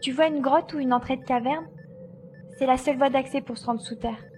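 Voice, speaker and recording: A woman's voice: high-pitched, brisk, a little mellow, a little hiss or hum, close to an everyday voice. A woman playing a determined, commanding glider pilot in a French audio drama. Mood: focused. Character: determined female glider pilot searching for her babies.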